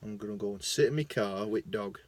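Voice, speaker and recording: Man, Lancashire accent, young adult, low pitch, casual rambling, loud bursts and mumbles, phone in a car. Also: a little fast, no echo. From Lancashire, England. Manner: chatty, self-mocking, swings between loud bursts and mumbling asides. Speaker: vlogger. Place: parked car.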